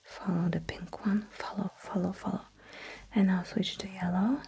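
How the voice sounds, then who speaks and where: A woman whispering in an ASMR roleplay as a technician, giving gentle, slow instructions in short phrases with a breathy, mostly unvoiced voice. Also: mid-range voice, steady tone. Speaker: ASMR performer role-playing a sci-fi technician running calibration tests.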